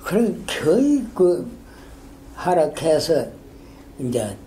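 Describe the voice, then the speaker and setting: An older man with a strong Gyeongsang dialect, a retired school principal telling his story in a halting, rambling way in an oral history interview. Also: on the high side, sing-song, warm tone, a little hiss or hum. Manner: halting, rambling, many fillers and restarts.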